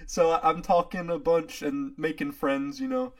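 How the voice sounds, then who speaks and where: A man's voice: a little high, expressive, moderate pace. A young man hosting a casual chat with friends, telling a long story in a rambling way with many fillers and frequent laughs. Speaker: podcast host.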